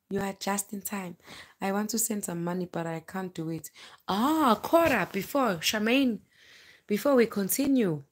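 A South African woman in her thirties chatting casually and animatedly on a livestream, telling personal stories into a phone in a bedroom. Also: fairly low pitch. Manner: chatty, animated, breaking off to greet viewers. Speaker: livestream vlogger, storyteller. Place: bedroom, indoors, lying in bed. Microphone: phone at arm's length.

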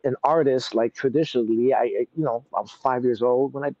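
A man in his thirties with a New York accent, chatting casually as an interview guest, relaxed and rambling with many fillers. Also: medium pitch, brisk, no echo, muffled recording. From Brooklyn, New York. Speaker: guest artist. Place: outdoors in a city courtyard.

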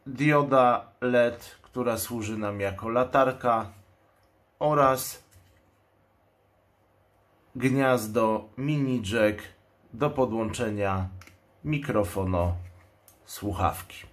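A man's voice: mid-range voice, drawn-out words, pauses often. A man giving an unscripted product presentation in speech, explaining things in a matter-of-fact way. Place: indoors at a table.

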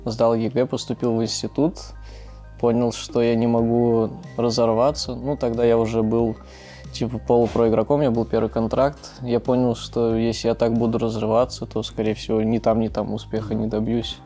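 A man in his twenties from Russia, an esports player, calmly explaining his choices while seated on a couch. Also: medium pitch, normal speed, faint music behind. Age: 20s. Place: living room on a couch. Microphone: lapel mic.

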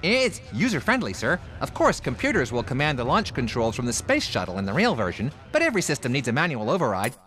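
An actor playing an eager, obsequious defense-contractor techie in a radio satire, fawning and nervously salesmanlike. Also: male voice, medium pitch, wildly swooping pitch, fast talker, hardly any pauses, a bit bright. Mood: nervously upbeat. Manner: fawning, keeps saying sir. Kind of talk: satirical radio comedy acting.

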